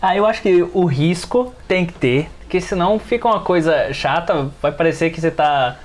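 A man's voice: fairly high pitch, moderate pace. A man with a Brazilian accent chatting casually as a podcast panelist, acting out reactions and often ending on tag questions. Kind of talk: casual chat.

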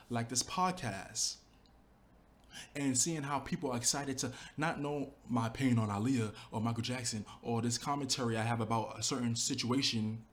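A young adult man, a YouTuber, talking casually and earnestly about building his channel, with lots of "you know" and quoted thoughts. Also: mid-range voice. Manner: earnest, animated storytelling with fillers like "you know". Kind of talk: casual reflective monologue.

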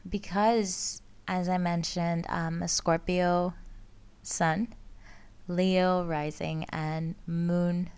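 A woman's voice: lowish voice, little pitch movement, extremely slow, a little mellow, clean tone. A young woman from Greece, hosting a casual solo podcast, rambling and correcting herself as she talks. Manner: rambling, self-correcting, laughs often. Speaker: podcast host, astrology student. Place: outdoors with sirens around.

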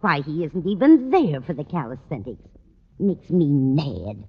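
A woman's voice: deep voice, sing-song, unhurried, breathy edge, heavily acted. An American actress playing an absent-minded, fussing older landlady in a 1940s radio sitcom, with a dithering, chatty manner. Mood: indignant. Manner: dithering, scatterbrained, fussy. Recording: noisy, dull old radio recording.

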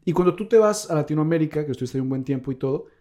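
A man's voice: a little high, lively intonation, rapid-fire, dark tone. A man with a Mexican accent hosting a casual podcast chat, rambling and slangy with lots of fillers. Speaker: podcast host.

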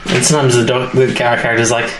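A man's voice: medium pitch, level tone, quick pace. Man hosting a podcast in echoey room. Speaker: podcast host. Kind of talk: casual chat about a TV series. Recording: echoey room, faint background noise.

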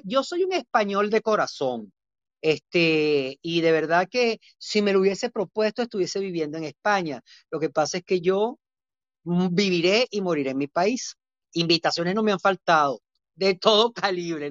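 A man's voice: sits high, a bit bright, no room sound. Venezuelan man, guest chef, proud and emphatic, muffled dry recording. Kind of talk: interview talk.

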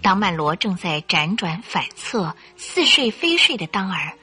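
A woman narrating a scripted radio drama in an expressive, suspenseful storytelling style. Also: mid-range voice, a little slow, husky, soft background music.

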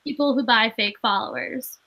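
Young woman, bright voice, fairly high, chatty, filler-heavy, casual interview guest. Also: normal speed. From Maine. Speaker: guest, actress and comedian.

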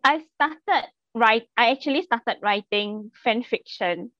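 Woman in her late twenties, higher voice, muffled audio. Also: lively intonation, takes it slowly, pushed and bright, no echo. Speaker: podcast guest, amateur fiction writer. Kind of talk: casual chat. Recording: dull lo-fi audio with music.

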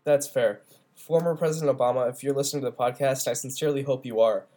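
Fast-talking young man with a stuffy nose. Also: mid-range voice, fairly even intonation, warm tone. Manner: playful, teasing banter. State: stuffy nose and sore throat. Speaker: podcast co-host. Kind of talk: casual chat. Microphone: phone.

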